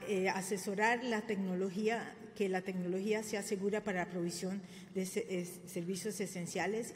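Older woman, conference speaker at a podium mic, halting delivery, roomy reverb with faint ambience. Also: medium pitch, a little mellow, slight background noise. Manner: halting, many fillers and self-corrections. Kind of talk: formal presentation at a podium. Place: government press room. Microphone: podium microphone.

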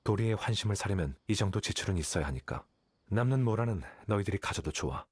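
An actor playing an aloof, calculating young scholar in a video game, speaking in a detached, measured, condescending way. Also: male voice, lowish voice, lively intonation, breathy edge, no room sound, clean studio sound. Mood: composed, instructive. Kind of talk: video game character dialogue. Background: game music.

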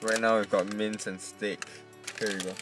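A man's voice: lowish voice, flat delivery, moderate pace, distant mic, over loud music. A man in his twenties with a Singaporean accent, chatting casually as a travel vlogger. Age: 20s. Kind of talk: casual vlog chat. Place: mostly inside a parked or moving car.

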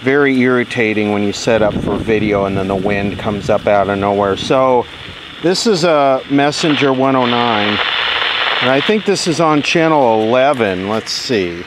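A man giving casual, matter-of-fact commentary on a hands-on demonstration, unhurried, recorded outdoors. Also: medium pitch, lively intonation. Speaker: video host. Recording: loud wind and radio static noise. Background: wind noise, hissing radio.

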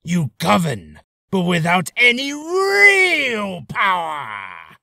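A man's voice: sits high, huge pitch swings, drawn-out words, vocal fry, bright, forceful tone, no room sound, studio quality, full character voice. A man voicing a haughty ancient demonic monster with grandiose, sinister relish for a voice reel. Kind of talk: character voice reel.

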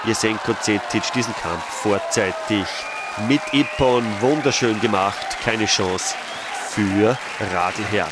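A man's voice: fairly low pitch, takes it slowly, heavy background noise. Man commentating over a cheering crowd. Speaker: sports commentator. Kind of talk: recorded match commentary voice-over. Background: cheering crowd in a sports hall.

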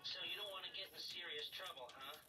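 An actor playing a wily middle-aged hacker on a phone call, in game dialogue heard from a TV speaker across a room. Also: male voice, high-pitched, quick pace, distant mic, over music, lightly acted. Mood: sly. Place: bedroom.

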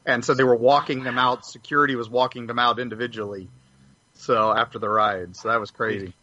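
A man's voice: mid-range voice, steady tone, a little fast, pushed and bright, no echo. A man chatting casually as a panelist on a podcast. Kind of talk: casual chat. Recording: dull, lo-fi audio.

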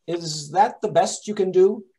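A man's voice: sits high, a little slow, mellow. A man playing an exacting, imperious detective with dry delivery in an old-time radio mystery. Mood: impatient. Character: brisk, exacting, commanding master detective. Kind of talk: 1930s radio mystery acting.

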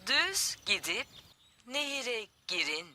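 A woman playing a polite talking camper-van navigator in a children's cartoon, measured and instructive. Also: a little high, big pitch swings, heavy background noise. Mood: calm guiding. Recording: loud music behind the voice.

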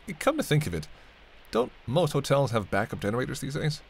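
A man's voice: mid-range voice, big pitch swings, fairly quick, slight background noise. A man playing a sardonic, teasing vampire in an audio roleplay, talking casually and wryly to the listener as a reluctant companion. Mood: wary, musing. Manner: wry, bantering, casual, muttered asides. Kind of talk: intimate audio roleplay acting addressed to the listener.

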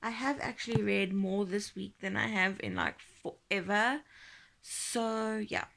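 A young woman in her twenties vlogging casually from bed, talking sleepily in a croaky, tired half-whisper into a phone held close. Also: medium pitch, animated, measured pace, a bit bright. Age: 20s. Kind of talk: casual vlog chat. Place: bedroom, lying in bed.

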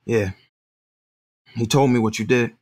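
A man's voice: medium pitch, fairly even intonation, measured pace, darkish tone, close to an everyday voice. An actor playing a confrontational working-class father defending his son in an audio drama, blunt and accusatory in slangy speech. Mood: accusing.

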